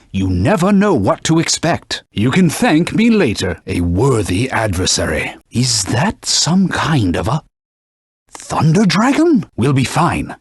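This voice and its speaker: A man's voice: fairly high pitch, breathy edge, no room sound, studio quality, full character voice. A man voicing a stern, haughty talking sword in a video game, a cautious battle mentor giving formal, scolding commands. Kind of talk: theatrical video game voice acting.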